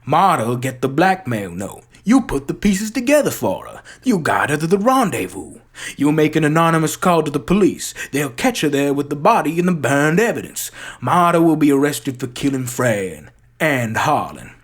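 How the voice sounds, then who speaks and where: A performer reciting as a theatrical, shrewd Southern gentleman detective with a drawling American Southern accent. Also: mid-range voice, big pitch swings, normal speed, husky. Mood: insinuating, building. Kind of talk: dramatic recitation of a film monologue.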